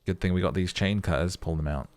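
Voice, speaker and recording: A man's voice: deep voice, fast, darkish tone, no echo. A man hosting a let's-play, reading game text as a narrator and adding casual commentary.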